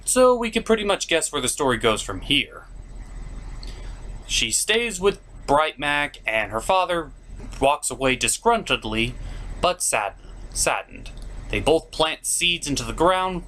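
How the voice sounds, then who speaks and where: A man voicing an excitable, sentimental puppet who hosts a cartoon review show with emphatic, theatrical delivery. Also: a little high, unhurried, slight background noise, full character voice. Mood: animated storytelling. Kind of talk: lively semi-scripted review commentary.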